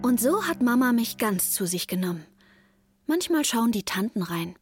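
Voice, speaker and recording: A naive little kid telling a story, highish voice, matter of fact, radio drama, bit of music. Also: female voice, sing-song, moderate pace. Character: young child narrator, naive, earnest, devoted to troubled mother. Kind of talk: first-person radio drama narration.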